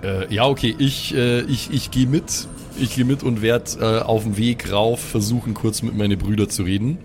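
A man's voice: fairly low pitch, fairly even intonation, moderate pace, noisy background, close to an everyday voice. A man voicing an earnest, polite cleric in an improvised audio game, hesitant and conciliatory as he explains.

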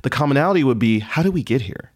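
A man talks as a podcast guest at an average male pitch. He is thoughtful and hopeful, rephrasing as he works through his ideas conversationally. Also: lively intonation, fast talker. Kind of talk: casual reflective conversation.

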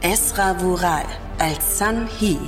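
Female announcer for scripted credits, slow delivery over clear music. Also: lowish voice.

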